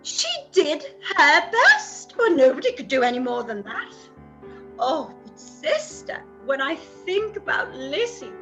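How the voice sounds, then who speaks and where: Shrill, fretful mother. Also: very animated, unhurried, sharp tone, soft background music, exaggerated voice. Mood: tearful grievance. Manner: melodramatic, complaining, exclaiming. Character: fretful, self-pitying, theatrical middle-aged mother. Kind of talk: theatrical period radio play.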